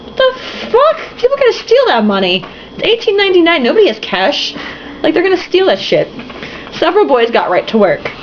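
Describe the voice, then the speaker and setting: A woman's voice: extremely high pitch, wildly swooping pitch, fairly quick. A young woman reading a novel aloud, tipsy, giggly and sarcastic, breaking off for swearing asides. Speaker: reader and commentator. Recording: dull lo-fi home recording with faint hiss.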